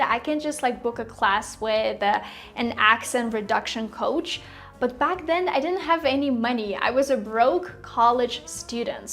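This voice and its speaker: Chatty young woman, learned American accent. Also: fairly high pitch. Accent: American-style English, learned. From Russia. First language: Russian. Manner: chatty, frequent "like" and small laughs. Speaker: English teacher YouTuber. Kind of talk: casual talk to camera.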